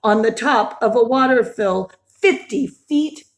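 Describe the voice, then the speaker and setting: Unhurried, higher-voiced woman reading to children. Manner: expressive, with gasps and exclamations; simple child-directed questions and commentary. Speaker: teacher reading a picture book aloud to young children. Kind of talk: animated read-aloud storytelling with asides to young listeners.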